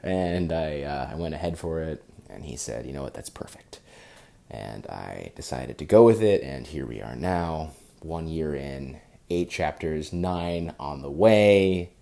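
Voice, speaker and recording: Man, extremely low pitch, heavy vocal fry, mellow, casual halting podcast chat. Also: animated.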